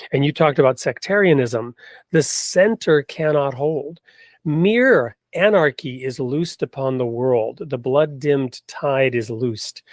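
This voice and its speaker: A man hosting a discussion, speaking in a deliberate, reflective way with emphatic phrasing as he talks and recites. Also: medium pitch, no echo. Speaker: host. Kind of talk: conversational hosting with poetry reading.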